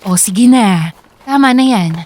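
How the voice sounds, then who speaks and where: A woman's voice: a little high, animated, measured pace, darkish tone. An actress playing a practical, fussy middle-aged mother in a Filipino radio drama. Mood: brisk, practical.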